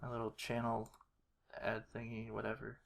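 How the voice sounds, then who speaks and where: A man thinking aloud through a casual screen-recorded tutorial, halting and self-deprecating, muttering to himself. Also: fairly low pitch, monotone, creaky, a bit bright. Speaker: video creator demonstrating editing. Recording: noisy home mic with keyboard clicks. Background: typing and mouse clicks.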